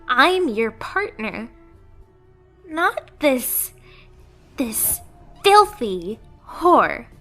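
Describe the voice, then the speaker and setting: Casting: female performer as anime yandere catgirl, clingy and jealous, high voice, very slow dramatic delivery. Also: a bit bright, exaggerated voice. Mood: shocked, furious. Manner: emotional, dramatic, at times dropping to a whisper. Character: yandere pet catgirl, lonely, clingy, jealous, unhinged lover. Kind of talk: anime ASMR roleplay acting.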